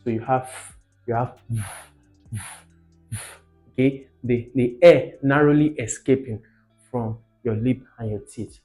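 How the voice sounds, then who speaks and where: A man's voice: mid-range voice, slow pace, pauses often, mellow. A man teaching a lesson, explaining didactically, asking questions and repeating key words for emphasis. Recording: muffled, echoey room with faint music behind.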